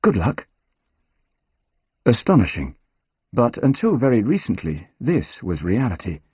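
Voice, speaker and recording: A man reading scripted nonfiction as an audiobook narrator, measured and articulate, with dramatic emphasis. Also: lowish voice, breathy edge, no echo, highs cut off.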